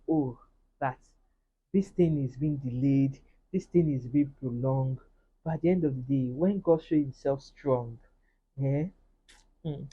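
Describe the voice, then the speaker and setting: A woman's voice: low-pitched, breathy edge, highs cut off. A woman with a Nigerian accent, earnestly sharing a personal faith testimony in a casual vlog and speaking straight to her viewers. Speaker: vlogger.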